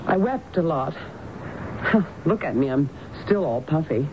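Genteel, hesitant wife, noisy old radio. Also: female voice, deep voice, expressive. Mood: shaken, hesitant. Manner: halting, soft-hearted, genteel. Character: troubled, affectionate, hesitant wife. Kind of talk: 1970s radio drama acting. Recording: noisy, dull vintage radio broadcast.

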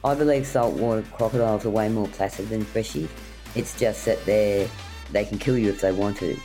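A woman's voice: rumbling low, brisk, warm tone, soft background music. A middle-aged woman from rural New South Wales with an Australian accent, telling casual anecdotes as an interview guest. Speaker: podcast guest, wildlife carer.

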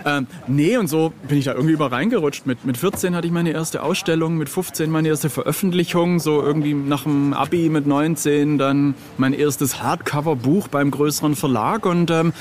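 A man's voice: a little high, normal speed, few pauses, darkish tone. A middle-aged man chatting casually as an interview guest, telling anecdotes in a jokey, self-deprecating way.

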